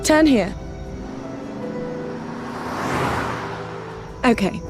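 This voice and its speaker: Breezy socialite spy played by an actress. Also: female voice, on the high side, lively intonation, moderate pace, over music, close to an everyday voice. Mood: flirty, breezy. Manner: teasing, airy, coy. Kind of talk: cinematic game acting.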